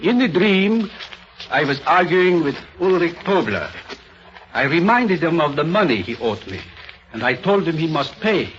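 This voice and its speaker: A man playing a fearful watchmaker recounting a nightmare in an old-time radio drama, deliberate and dramatic. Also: on the high side, big pitch swings, fairly bright tone. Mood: haunted, tense. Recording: dull, slightly noisy old radio recording.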